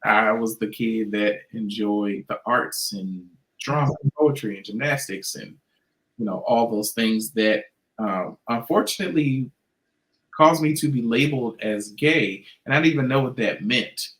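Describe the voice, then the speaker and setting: A man's voice: medium pitch, unhurried, fairly bright tone, rough recording. A man speaking as a podcast guest, telling a personal story in a reflective, hesitant way. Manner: reflective, hesitant, with fillers and restarts.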